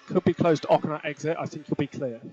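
Race engineer, male, slightly high voice, clipped and measured, repeats key calls, noisy team radio link. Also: a little mellow, lo-fi sound. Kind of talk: motor racing team radio instructions.

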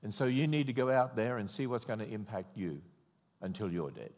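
An older Australian man delivers a sermon from a church podium, his fairly low voice carrying a long hall reverb. He tells stories wryly and lifts his voice when mimicking others. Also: brisk. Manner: wry, animated storyteller, mock-quoting others in raised voice. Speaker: conference speaker. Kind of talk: sermon with storytelling. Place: large church auditorium. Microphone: podium microphone.

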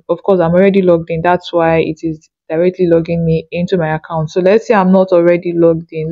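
Mellow-voiced woman giving a dry-recorded tutorial. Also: fairly low pitch, no room sound, dull audio. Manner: patient instructing with frequent comprehension checks. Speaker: online tutorial instructor. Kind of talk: step-by-step screen tutorial narration.

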